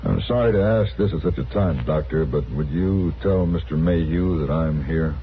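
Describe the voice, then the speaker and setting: A low-voiced, courteous sheriff, thin recording. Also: male voice, normal speed. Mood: solemn, polite. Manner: formal, polite, apologetic. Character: courteous, sober visiting city sheriff, a grown man. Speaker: actor in a radio western. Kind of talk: 1950s radio drama acting. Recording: thin phone-like vintage radio, faint noise.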